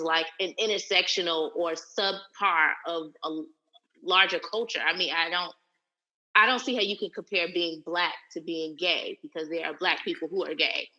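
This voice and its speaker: A woman co-hosting a casual group podcast, talking earnestly in long run-on sentences. Her voice is bright and forceful, sitting a little low. The recording is dull and lo-fi. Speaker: podcast co-host.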